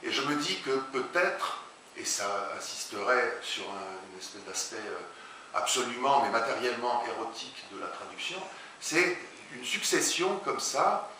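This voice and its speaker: A man, a translator and writer, being interviewed before an audience, speaking haltingly with many restarts. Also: mid-range voice, lively intonation, sharp tone. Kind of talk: public talk interview. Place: large echoey hall, before an audience. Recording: very echoey hall, far from mic, hiss.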